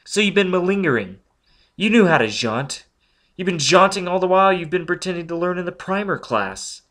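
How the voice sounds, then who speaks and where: A man voicing a high, anxious young woman. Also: very animated, normal speed, a bit bright. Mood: indignant. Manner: anxious, prim, high-strung. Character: young woman, a lonely nervous telepath teacher.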